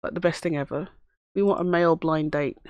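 Hoarse woman narrating her gameplay. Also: low-pitched, fairly even intonation, brisk, no room sound, lo-fi sound. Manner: chatty, talks to the game characters. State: hoarse from a cough. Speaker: let's play host. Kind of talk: casual gameplay commentary.

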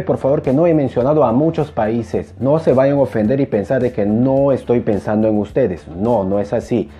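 A young man teaching a language lesson to camera in an emphatic, animated manner, repeating phrases. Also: mid-range voice. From Peru. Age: young adult. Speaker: Spanish teacher. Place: small indoor room, plain wall. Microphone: clip-on lapel mic.

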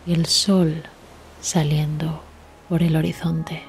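Slow, hushed woman guiding meditation. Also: deep voice, faint music behind. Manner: soothing, hushed, gentle delivery with long pauses. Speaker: guided meditation instructor. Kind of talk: guided relaxation meditation. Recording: steady ambient sea sound behind voice. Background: sea waves ambience.

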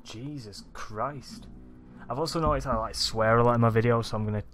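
A young man with a Manchester accent, rambling excitedly over a video game, his morning voice groggy and deep. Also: a little fast, over music. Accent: Manchester English. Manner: rambling, excitable, swears often. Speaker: let's play gamer. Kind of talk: casual gameplay commentary.

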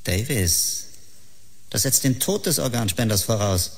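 An actor playing a wary, stern male superior with a husky voice in an audio drama. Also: medium pitch, lively intonation, measured pace. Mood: wary, disapproving.

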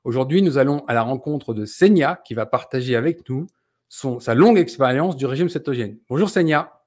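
A man's voice: mid-range voice, animated, a little fast, a little mellow. A middle-aged man hosting an interview, speaking into a close studio microphone. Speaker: host, interviewer. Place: indoors, home studio. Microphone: close studio mic on boom arm.

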